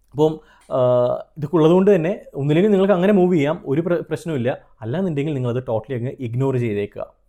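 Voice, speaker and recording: Male Malayali consultant-host, slightly high but soft-edged mellow voice, earnest explanatory delivery with English terms mixed in. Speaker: trademark consultant and video host. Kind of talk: explanatory advice straight to viewers.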